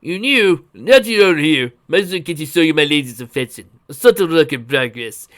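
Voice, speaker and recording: A man voicing an excitable old uncle who is an inventor, explaining things with emphasis. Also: a little high, brisk, pitched above the performer's own voice. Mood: exulting, earnest.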